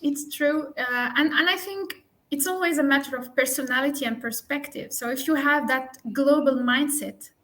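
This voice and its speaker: A woman from Tunisia, a native Arabic speaker, talks as a guest on an interview podcast. Her voice sits high, and she chats along freely with restarts and small laughs. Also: moderate pace, hardly any pauses, a bit bright. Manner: chatty, frequent restarts and light laughs. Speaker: guest entrepreneur. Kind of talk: casual interview conversation.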